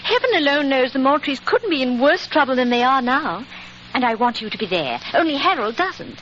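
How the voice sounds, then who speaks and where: An actress playing an anxious, genteel young British aristocrat, earnest and confiding, in 1940s radio drama style. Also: female voice, sits high, lively intonation, brisk, a bit bright. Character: anxious, emotional young aristocratic English lady. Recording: old radio recording, muffled, faint hiss.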